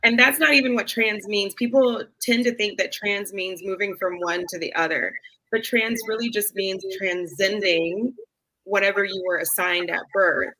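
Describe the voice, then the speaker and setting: A woman guest in a casual discussion, explaining her views in a thoughtful, measured way. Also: mid-range voice, fairly even intonation, pushed and bright, low-quality recording.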